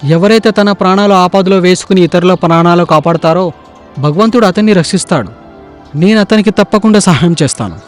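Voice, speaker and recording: A man voicing earnest, respectful figures of court and forest in an animated moral story, with formal, declamatory dialogue. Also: high-pitched, brisk, soft background music, lightly acted.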